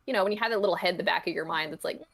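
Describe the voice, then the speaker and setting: Reflective woman with a lowish voice. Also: racing, a bit bright. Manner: rambling, reflective, hedging explanations. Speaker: podcast guest, comic creator. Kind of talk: casual interview chat.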